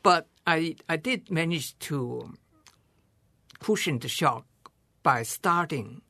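A man in his seventies from China, a guest scholar giving measured, thoughtful interview answers and pausing to rephrase. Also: on the high side, animated, vocal fry, bright, forceful tone, no room sound.

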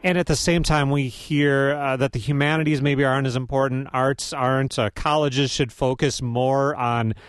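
A man hosts a public radio interview show, reading scripted introductions in a steady, measured way. His voice sits mid-range for a man. The studio sound is close and dry. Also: mid-range voice, dry recording. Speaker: radio host.

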